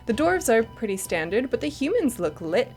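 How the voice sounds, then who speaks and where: A woman's voice: fairly high pitch, sing-song, moderate pace, a little mellow, soft background music. A woman co-hosting a gaming news show, wry and playfully emphatic, moving between narration and casual banter. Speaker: show co-host.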